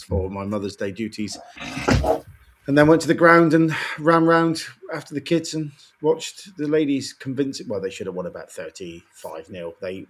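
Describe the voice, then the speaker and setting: A tired man with a croaky voice, co-hosting a casual football chat and ranting with exasperation. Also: medium pitch. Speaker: podcast co-host.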